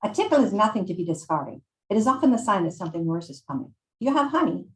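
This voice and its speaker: Old Italian woman shopkeeper, played by a woman reader: higher pitch, Italian accent, bossy, mothering, snarky, from a read-aloud novel. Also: sing-song, fast, natural voice, rough recording. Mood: scolding.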